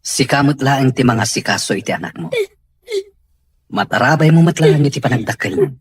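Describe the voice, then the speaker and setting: A man playing a stern but caring middle-aged father in a radio-style family drama, firm and measured. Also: medium pitch, lively intonation, slightly rough. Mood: concerned.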